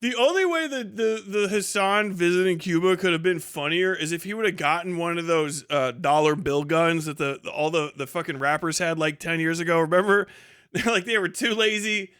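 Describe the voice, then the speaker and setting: A man's voice: high-pitched, moderate pace, a bit bright, clean tone, dry recording, clean studio sound. A man hosting a casual livestream, reading viewer comments and riffing in a profane, sardonic way. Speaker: livestream host.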